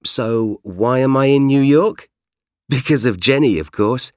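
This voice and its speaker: A man's voice: medium pitch, measured pace, no echo, clean studio sound, close to an everyday voice. A man with a British accent, playing a young writer in a scripted language-course dialogue, easygoing and apologetic. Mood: friendly. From Britain. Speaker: magazine writer character.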